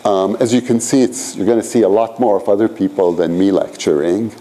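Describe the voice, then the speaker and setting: Deep-voiced rambling man in his sixties. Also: fairly even intonation, fairly quick, warm tone, poor audio. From Iran. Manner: rambling, filler-heavy, frequent "you know" and "frankly". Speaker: professor leading a seminar. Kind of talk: conversational seminar lecture. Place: lecture room at a graduate school.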